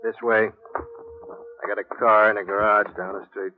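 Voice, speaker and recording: Man playing a low-voiced, curt gangster henchman. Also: level tone, moderate pace, close to an everyday voice. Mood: cold, commanding. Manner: terse, blunt. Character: curt male gangster henchman, cool and threatening. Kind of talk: 1940s-50s radio acting. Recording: muffled, hissy old radio recording.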